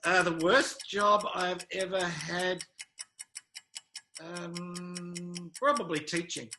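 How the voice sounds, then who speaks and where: A man's voice: sits high, fairly even intonation, drawn-out words, background noise. A New Zealand man in his fifties, giving short, dry, good-humoured interview answers with hesitant fillers. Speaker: interview guest, travel sales manager.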